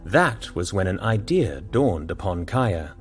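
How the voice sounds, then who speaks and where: Male storyteller for scripted audio drama, deeper register, raspy edge, dramatic narration. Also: big pitch swings, a little slow, soft background music.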